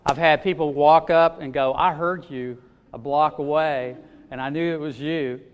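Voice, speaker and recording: A man preaching a sermon in a loud, expressive way, often pausing with fillers. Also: a little high, smooth, muffled recording. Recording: long hall reverb.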